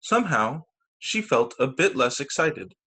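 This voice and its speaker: A man's voice: medium pitch, sing-song, a little slow, sharp tone, slightly rough. A man reading picture books aloud to children as a teacher, narrating over a video call. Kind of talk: storytime narration read aloud.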